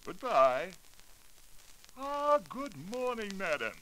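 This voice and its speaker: A man's voice: high-pitched, sing-song, slow, sharp tone, full character voice, low-quality recording. A man playing a fawning, persuasive beauty salon salesman in a 1940s radio sketch. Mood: ingratiating. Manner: effusive, obsequious, persuasive. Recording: hissy 1940s radio recording.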